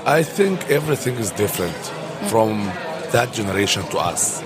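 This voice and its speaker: A man speaks English as a with lively, swinging pitch. He talks as a film director on an informal panel, halting and then rushing ahead, with crowd sound clearly behind him. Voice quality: non-native English with uneven grammar.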